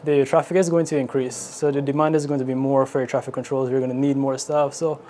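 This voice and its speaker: A man's voice: mid-range voice, flat delivery, quick pace, mellow. A man with a Guyanese accent giving informal interview answers as an air traffic controller. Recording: clearly noisy location interview.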